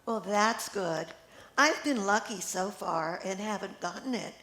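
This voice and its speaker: A woman's voice: mid-range voice, unhurried, pushed and bright. An older woman reading the part of a sociable friend catching up, in an amateur play. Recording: echoey room with faint noise.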